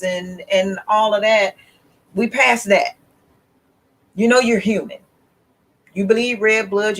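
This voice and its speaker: A woman hosting a casual livestream, talking slowly and emphatically with restarts. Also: fairly low pitch, a bit bright, low-quality recording. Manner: slow, rambling and emphatic, with restarts and laughs. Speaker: host.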